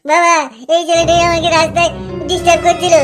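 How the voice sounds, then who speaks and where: An extremely high, childlike girl's voice with a West Bengal Bengali accent , acted by a performer. She plays a young village daughter in a cartoon, with clear music behind her. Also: completely flat delivery, fast talker. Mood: alarmed. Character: sweet little village girl, daddy's daughter. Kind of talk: amateur comedy cartoon dubbing.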